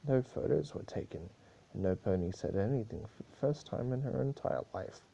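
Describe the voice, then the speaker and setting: A man's voice: mid-range voice, animated, moderate pace, creaky, mellow, husky, highs cut off. A man narrating fan fiction as an amateur dramatic reader, occasionally stumbling over the words.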